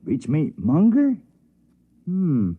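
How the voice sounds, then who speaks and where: Husky, easygoing American colonial official. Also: male voice, fairly high pitch, wildly swooping pitch, extremely slow, exaggerated voice. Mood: dismissive. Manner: folksy, offhand. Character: easygoing, dismissive male residence office official. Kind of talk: 1950s radio drama acting. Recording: tinny, narrow-band 1950s radio recording.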